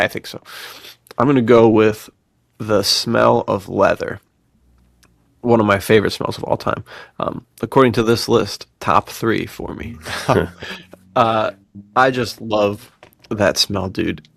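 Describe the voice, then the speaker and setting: A low-pitched American man with plenty of vocal fry co-hosts a casual podcast. He talks in a slangy, bro-ish way, telling stories. The sound is dry and close, with no room on it. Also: slow pace, long pauses, dry recording. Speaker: podcast co-host.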